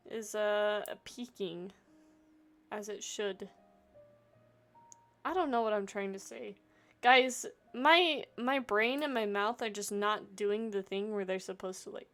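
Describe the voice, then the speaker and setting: A woman's voice: medium pitch, fairly bright tone. A woman streamer giving casual, rambling, self-deprecating commentary as she plays a game. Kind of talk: casual live commentary over gameplay. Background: game music.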